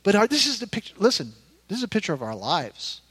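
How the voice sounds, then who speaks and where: Pastor, male, 50s. High-pitched voice, dry close sound, conversational yet emphatic preaching. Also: wildly swooping pitch, fast, a bit bright, breathy edge, no room sound. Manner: conversational, emphatic, repeats phrases. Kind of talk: informal sermon to a congregation.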